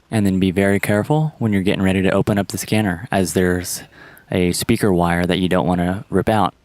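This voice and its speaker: A man's voice: deep voice, a little mellow, no echo. A man narrating a step-by-step repair tutorial in a plain, instructional manner. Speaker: how-to presenter.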